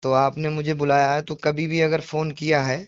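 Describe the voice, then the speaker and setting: A man's voice: fairly high pitch, flat delivery, moderate pace, clear, resonant tone, dry recording. A man on a recorded phone call, speaking earnestly and apologetically, explaining himself insistently with repetitions and rhetorical questions. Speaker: devotional poetry reciter on a phone call.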